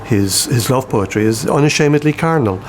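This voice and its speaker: A man speaking as a publisher in a reflective interview, choosing his words in a considered, halting way. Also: medium pitch, lively intonation, a little hiss or hum. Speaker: publisher interviewed about a poet.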